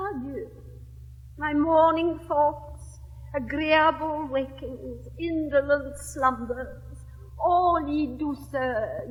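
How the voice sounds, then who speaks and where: A woman's voice: high-pitched, bright, forceful tone, long reverb tail, full character voice, low-quality recording. An English actress in her seventies playing a witty, capricious young lady of fashion, with an affected, swooping theatrical drawl. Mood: playful. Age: 70s. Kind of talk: 1960s classic stage recording.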